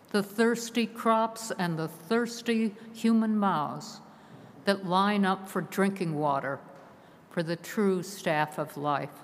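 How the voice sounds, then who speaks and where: Slow, solemn woman preaching in a vast church. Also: medium pitch. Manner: slow, solemn, measured delivery with falling phrase ends. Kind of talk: sermon. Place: large stone church, from a pulpit. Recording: long church reverb heard from afar.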